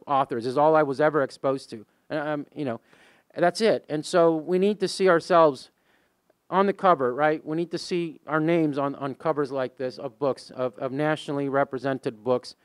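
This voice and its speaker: Texan man, smooth clear panel voice. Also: on the high side, no room sound, clean studio sound. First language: Spanish. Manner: rambling, many fillers and restarts. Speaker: poet and high school English teacher on a panel. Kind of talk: casual panel talk. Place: museum meeting room with a small audience. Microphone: handheld mic.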